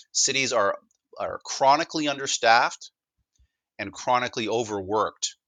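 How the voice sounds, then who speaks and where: A man's voice: lowish voice, sharp tone, dry recording. A Canadian man presenting a technology demo in a casual, conversational way, often stammering and repeating words as he goes.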